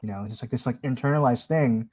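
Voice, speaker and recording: A man with a soft, dark, mellow tone and mid-range pitch speaks. He is conversational and earnest, as in a casual group discussion. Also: fast, breathy edge. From family from the Philippines.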